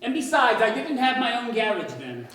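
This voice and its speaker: A woman's voice: on the high side, very animated, normal speed, a bit bright. A woman playing a bashful, nervously gallant young male suitor in a staged radio play, hesitant but earnest. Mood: bashful, hopeful. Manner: hesitant, earnest, putting on bravado. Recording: echoey, distant stage mic with noise.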